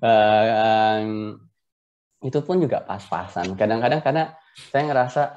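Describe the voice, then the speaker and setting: An Indonesian man with a low, very mellow voice speaks as a guest in a casual conversation. He talks about himself in a self-deprecating way and chuckles often. The sound is dull and lo-fi, as on a video call. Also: unhurried. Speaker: interview guest. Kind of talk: casual personal storytelling.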